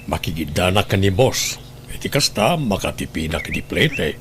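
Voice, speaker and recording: A man's voice: low-pitched, big pitch swings, moderate pace, slight background noise. A man playing a hard-working husband, irritable yet reassuring, in an audio drama, with an Ilocano Filipino accent.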